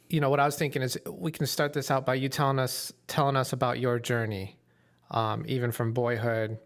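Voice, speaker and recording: Hesitant male interviewer. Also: medium pitch. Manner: casual, hesitant, with frequent ums. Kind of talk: interview questions.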